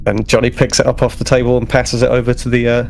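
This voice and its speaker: A man playing a tabletop roleplaying game, narrating and speaking in character in a hesitant, creaky voice. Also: medium pitch, monotone, darkish tone, slight background noise. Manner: hesitant, halting.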